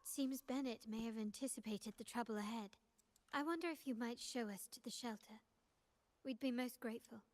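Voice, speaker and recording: A woman's voice: fairly high pitch, moderate pace, lightly acted. A woman voice actor playing a poised young heiress in a video game, speaking politely, formally and with self-assurance. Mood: courteous, composed. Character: poised, refined young heiress of a merchant family.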